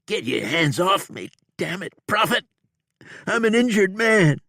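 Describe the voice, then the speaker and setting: A wounded outlaw in an audiobook, pitched far above his own deep voice and strained with panic. He yelps and cries out in pain, and the voice sits close and dry with no room sound. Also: expressive, slow pace, creaky, sharp tone, no echo, heavily acted. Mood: panicked pain. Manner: yelping, howling and crying out in pain. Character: injured frightened male outlaw, panicky. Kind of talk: audiobook character voice.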